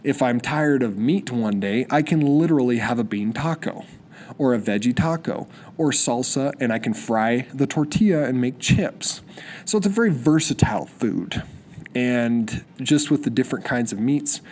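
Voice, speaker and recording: A man's voice: mid-range voice, lively intonation, moderate pace, darkish tone, slight background noise. A young man hosting a solo podcast, sniffly with a cold, rambling eagerly with many restarts. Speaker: podcast host. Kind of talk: solo casual podcast monologue.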